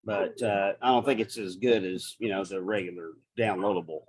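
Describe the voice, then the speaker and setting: A man's voice: sits low, fairly even intonation, moderate pace, slightly rough. A man in a casual panel chat, talking hesitantly and joking around.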